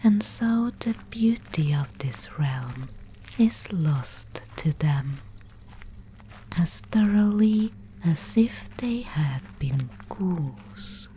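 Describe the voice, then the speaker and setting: A woman playing a mysterious, solemn guide of a gloomy fantasy realm in an ASMR roleplay, whispering breathily and close to the microphone with deliberate pauses. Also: fairly low pitch, animated, a little hiss or hum, low-quality recording. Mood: hushed, solemn. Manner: slow, hushed, solemn storytelling with deliberate pauses.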